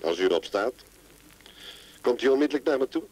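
A man's voice: medium pitch, lively intonation, fairly quick, darkish tone, natural voice, low-quality recording. A man playing a curt, reluctant old doctor in a radio play.

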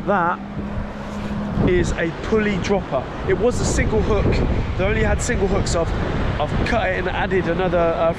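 A man vlogging his fishing trip on a beach, talking in a chatty, rambling way with a blocked nose from a cold. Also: high-pitched. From England. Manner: chatty, rambling, self-correcting with small laughs. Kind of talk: casual vlog narration. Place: open beach by the sea. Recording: loud surf noise outdoors. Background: loud waves and wind.

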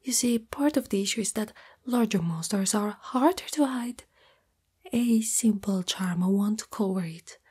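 A shy spider monster girl, played by a woman, speaks in an ASMR roleplay. Her voice is an extremely breathy whisper, soft and mellow in tone. She goes slowly and hesitantly, tender and lovestruck, as if confiding in a partner. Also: medium pitch. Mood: nervous confiding. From Italy.

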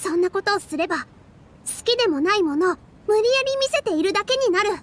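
Earnest young female dancer, anime game, very high, sweet-toned voice, measured debater. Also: animated, fairly bright tone, slight background noise. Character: earnest, soft-spoken young woman dancer defending her theater.

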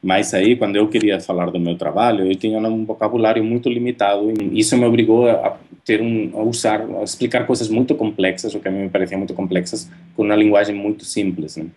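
A man in his thirties from Spain with a Spanish accent, talking thoughtfully to a webcam about his art in a halting way, with many fillers and restarts. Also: lowish voice, steady tone, a little mellow. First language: Spanish or Catalan. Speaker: artist. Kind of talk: reflective monologue to camera. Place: indoor room at home. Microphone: webcam at close range.

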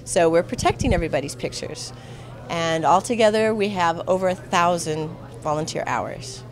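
A middle-aged American woman with a somewhat low voice talks into a handheld mic. She explains things as a volunteer organizer in an unscripted interview. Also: expressive, moderate pace. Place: indoor exhibit hall. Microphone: handheld microphone.

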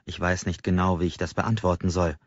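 A male audiobook narrator with a lowish, husky voice reads a first-person novel. The recording is very dry and slightly dull. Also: level tone, a little fast, no room sound.

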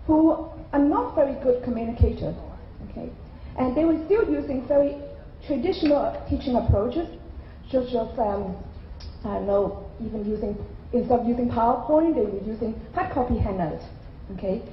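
A woman's voice: fairly high pitch, slight background noise. A middle-aged woman who grew up in Ireland gives a conversational conference keynote into a handheld mic, often checking in with "okay?". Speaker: keynote speaker. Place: large hotel conference hall. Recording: roomy hall sound, slightly dull.

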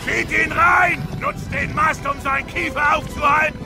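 A man playing a burly, commanding Viking in a children's audio drama, barking forceful orders. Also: very high-pitched, steady tone, normal speed, creaky, music in the background. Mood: urgent, commanding.

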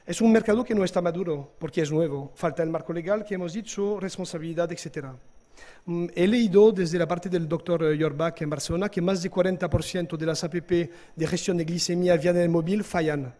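A man, giving a conference talk in a hall with halting hesitations and restarts. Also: sits high, fairly even intonation, mellow. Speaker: conference speaker. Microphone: lectern microphone.

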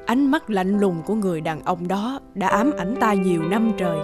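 A woman's voice: mid-range voice, lively intonation, moderate pace, over loud music, close to an everyday voice. Woman acting grave elderly lady painter. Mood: grave, disapproving. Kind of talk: dubbed cartoon drama acting.

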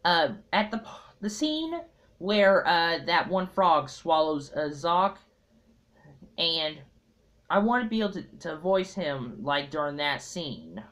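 A young man talking casually to viewers in a halting, hesitant way, recorded at home on a tablet's built-in mic. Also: a little high, expressive, a bit bright. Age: young adult. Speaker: amateur fandub video maker. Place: small room at home. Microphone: tablet built-in mic at arm's length.

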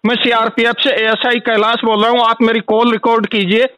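A man's voice: very high-pitched, level tone, fairly quick, sharp tone, smooth, no room sound. An angry man making a heated complaint as a customer on a phone call, insistent and repetitive. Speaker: complaining customer calling a helpline.

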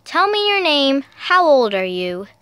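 Slow, high-voiced woman reading to toddlers. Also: very animated, fairly bright tone, dry recording. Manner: sing-song, deliberate, child-directed delivery. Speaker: storyteller, video host. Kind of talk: read-aloud picture books for toddlers.

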